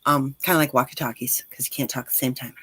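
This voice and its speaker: A woman's voice: a bass voice, steady tone, quick pace, bright, forceful tone, slightly rough, no room sound. A woman in her forties chatting casually on a livestream as host, speaking directly to listeners in an encouraging, reassuring way. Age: 40s.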